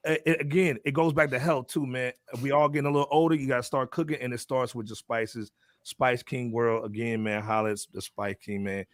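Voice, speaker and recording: A man's voice: mid-range voice, steady tone, brisk, no echo, studio quality. A man hosting a hip hop podcast in a casual, slangy, informal way, often punctuating his lines with "man". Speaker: podcast host.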